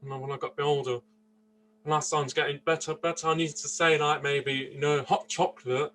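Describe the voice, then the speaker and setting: A man's voice: fairly high pitch, little pitch movement, a little fast, fairly bright tone. A young man in his twenties from Austria, answering interview questions earnestly, often restarting and searching for words. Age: 20s. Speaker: interview guest. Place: indoors, seated interview.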